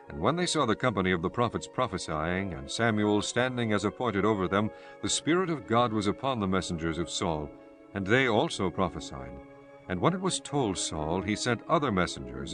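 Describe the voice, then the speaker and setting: Casting: male narrator for dramatized scripture, low voice, solemn storytelling, faint music bed. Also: big pitch swings, moderate pace.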